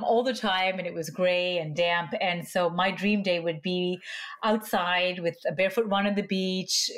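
A middle-aged woman born in Malaysia, a psychologist speaking in a measured, explanatory way as a podcast guest. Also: on the low side, fairly bright tone. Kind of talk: podcast interview.

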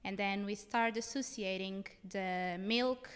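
A young Portuguese woman with a smooth, clean voice and a Portuguese accent. She tells stories conversationally as a guest, in a space with long reverb. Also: mid-range voice, slow pace, a bit bright. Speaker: podcast guest. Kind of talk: casual conversational storytelling. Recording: reverberant room with long echo.

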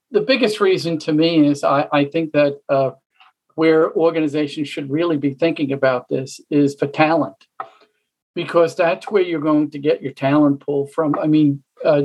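A middle-aged woman speaking as a panelist, answering in a measured, thoughtful way with some halting pauses. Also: low-pitched, darkish tone, slightly rough, rough recording.

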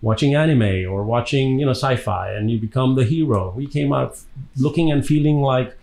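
A man in his sixties from Tanzania, an interview guest, telling personal stories conversationally with reflective, hesitant pauses. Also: medium pitch, vocal fry, darkish tone. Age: 60s.

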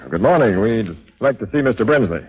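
An actor playing a brisk, authoritative police captain with a probing manner in a 1940s radio drama. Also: male voice, medium pitch, expressive, breathy edge, faint music behind. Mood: businesslike. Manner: businesslike, commanding, probing. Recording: dull old 1940s radio recording.

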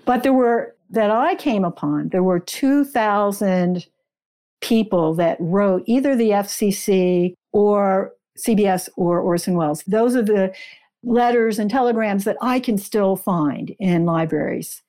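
A woman with a soft-edged, mellow voice talks as an author being interviewed. She explains history conversationally, leaning on key words for emphasis, at a middling pitch. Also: a little slow. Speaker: guest author.

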